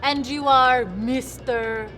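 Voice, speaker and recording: A woman's voice: high-pitched, fairly even intonation, takes it slowly, a bit bright. A woman playing a poised, flirtatious countess at a poker table in a scripted comedy scene. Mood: coolly flirtatious. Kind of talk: scripted film-style acting. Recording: music under the voice.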